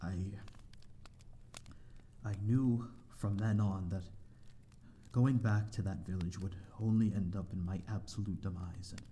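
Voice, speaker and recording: Deep, genteel man playing a lonely vampire. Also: warm tone, a little hiss or hum, lightly acted. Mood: mournful, resigned. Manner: formal, old-fashioned, genteel, hesitant phrasing. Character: lonely, courteous male vampire living in an abandoned manor. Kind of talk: ASMR audio roleplay, addressing the listener.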